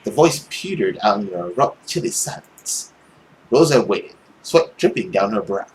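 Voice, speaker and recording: A man's voice: lowish voice, sing-song, normal speed, poor audio. A young man reading visual novel narration aloud as a gaming host, dramatic, with casual commentary, on a headset mic. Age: young adult. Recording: faint background noise.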